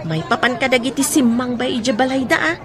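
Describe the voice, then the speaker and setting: A woman's voice: high voice, fast, music in the background, crowd noise, natural voice. A woman with an Ilocano accent playing an indignant, protective housemaid in a radio drama. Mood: indignant, gossipy.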